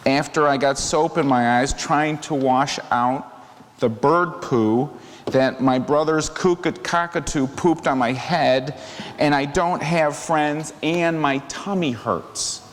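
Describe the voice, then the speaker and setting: A man's voice: fairly high pitch, slow pace, pitched above the performer's own voice. Man playing an upset little boy in an echoing hall. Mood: upset, aggrieved. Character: upset little boy pouring out a string of woes. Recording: reverberant hall, faint background noise.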